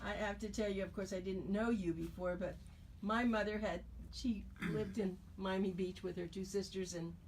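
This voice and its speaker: A woman's voice: mid-range voice, fairly even intonation, normal speed, a little mellow. A woman hosting a radio talk show, asking questions in a chatty, conversational way. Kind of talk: radio interview. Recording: radio broadcast from a distance, faint noise.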